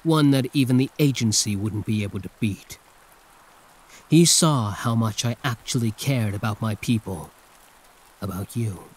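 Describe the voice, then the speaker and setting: A man's voice: lowish voice, unhurried, a little mellow, a little hiss or hum, close to an everyday voice, rough recording. A man playing a condescending yet protective hero turned villain in an intimate, theatrical ASMR roleplay monologue. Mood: earnest, confiding.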